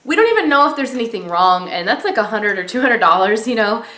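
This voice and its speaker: A woman's voice: on the high side, lively intonation, fast talker, bright, forceful tone. Chatty woman with a stuffy cold. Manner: chatty, many ums and likes. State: stuffy from a cold, clearing throat. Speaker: vlogger. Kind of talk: casual pregnancy update vlog. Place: small room indoors. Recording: roomy echo.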